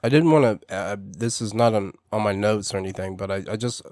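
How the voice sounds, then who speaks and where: A man hosting a casual interview, chatty and quick with short repeated reactions. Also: lowish voice, darkish tone, dry recording, studio quality. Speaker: radio show host.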